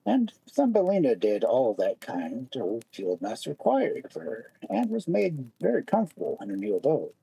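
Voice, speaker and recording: Very husky, expressive fairy tale storyteller. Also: mid-range voice, moderate pace, hardly any pauses, dry recording, rough recording.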